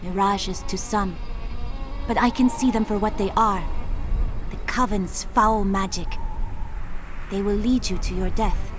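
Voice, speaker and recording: A woman playing a calm mystical enchantress. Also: mid-range voice, lightly acted. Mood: composed. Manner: measured, formal and foreboding. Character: mystical young female enchantress, calm and wise. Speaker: companion enchantress. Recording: game audio with loud music.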